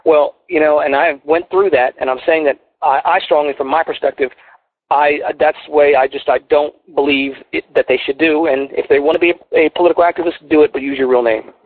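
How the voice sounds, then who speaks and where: A man's voice: a little high, steady tone, brisk, dry recording. A man from Philadelphia with a faint Philadelphia accent, talking earnestly and rambling on a call-in radio show, with many restarts. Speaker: guest. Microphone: phone. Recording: tinny phone line, dry.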